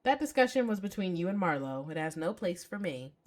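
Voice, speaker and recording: An elderly landlady from a visual novel, read by a woman, grandmotherly but stern. Her speech is measured and formal, in a slightly low voice. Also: very animated.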